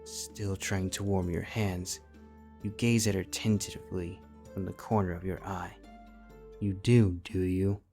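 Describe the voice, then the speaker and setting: A man's voice: sits low, flat delivery, normal speed, vocal fry, a little mellow, soft background music. A man reading scripted second-person fantasy fiction as the narrator.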